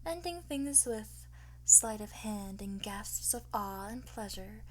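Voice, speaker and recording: A woman playing a flirtatious, boastful master thief in an ASMR roleplay, soft and often whispering, theatrical and smug. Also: medium pitch, lively intonation, slow pace, slight background noise, poor audio. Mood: teasing. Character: teasing, boastful female master thief under interrogation.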